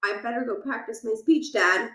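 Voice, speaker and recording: Woman voicing a storybook principal, middle-aged man, beleaguered, muttering, repetitive, bright tone. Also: extremely high pitch, moderate pace, reverberant. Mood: weary. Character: beleaguered, easily flustered middle-aged male school principal.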